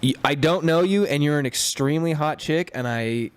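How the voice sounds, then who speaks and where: A man's voice: fairly high pitch, normal speed, smooth. A man who is a chef, a guest on a podcast, talking in a laid-back, self-deprecating way. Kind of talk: casual chat.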